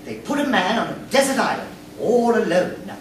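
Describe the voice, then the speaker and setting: A woman's voice: medium pitch, expressive, moderate pace, lightly acted. Woman doing a muddled boyish castaway guy, earnest, voice jumping around, comic radio play, echoey. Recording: echoey room, distant mic, faint noise.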